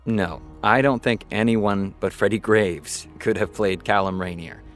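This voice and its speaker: A man's voice: fairly low pitch, measured pace, faint music behind, lightly acted. A man playing a reflective, earnest show creator giving a solemn documentary-style interview.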